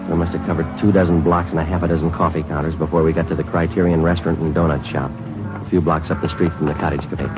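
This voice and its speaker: A man's voice: extremely low pitch, little pitch movement, quick pace. An actor playing a terse male police detective who narrates the case in a clipped, matter-of-fact way, in a 1950s radio drama. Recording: dull 1950s radio broadcast with music.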